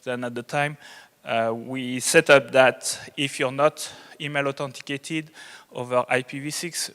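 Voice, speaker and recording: A man's voice: mid-range voice, a bit bright. A man with a strong French accent giving an unscripted technical presentation as a conference speaker. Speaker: conference presenter, network engineer. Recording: reverberant hall.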